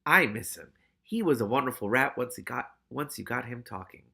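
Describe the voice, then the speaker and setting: A man's voice: medium pitch, animated, normal speed, gravelly, bright, forceful tone, breathy edge, exaggerated voice. A man voicing a small, earnest, melancholy male rat sage, polite and sincere, in a storybook. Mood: gentle, wistful.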